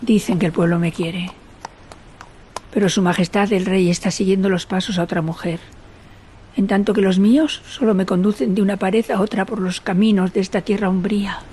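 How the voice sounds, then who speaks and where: A woman's voice: medium pitch, steady tone, moderate pace, mellow, natural voice. Woman acting a sorrowful, solemn exiled queen, noisy background. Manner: solemn, literary, lamenting. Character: sorrowful exiled queen recalling her youth, dignified. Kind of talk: radio drama monologue, old radionovela style.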